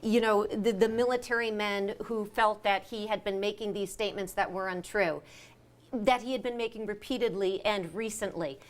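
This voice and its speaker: A woman's voice: medium pitch, steady tone, moderate pace. An American woman arguing assertively as a panelist in a debate. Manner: assertive, contrarian.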